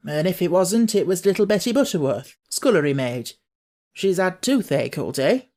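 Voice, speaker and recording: A man's voice: sits high, very animated, normal speed, a little mellow. A man voicing a stubborn, talkative young Yorkshire housemaid with homely dialect in an audiobook. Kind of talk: audiobook character voice.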